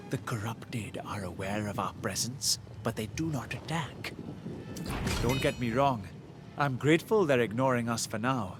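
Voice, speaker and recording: An actor playing a wary, dutiful military commander in a fantasy video game, speaking in a formal, concerned way. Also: male voice, medium pitch, natural voice. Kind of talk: video game dialogue acting.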